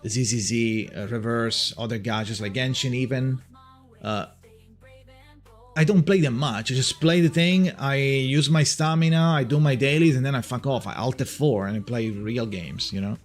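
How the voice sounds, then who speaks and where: A man's voice: mid-range voice, moderate pace, faint music behind. Italian-accented man rambling casually. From Italy. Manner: rambling, many restarts, swears casually. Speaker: streamer. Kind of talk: casual livestream chat.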